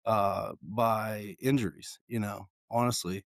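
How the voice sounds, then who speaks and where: A man talking as a podcast guest in a halting way, with many fillers. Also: on the low side, steady tone, creaky, dry recording, clean studio sound. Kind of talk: casual interview talk.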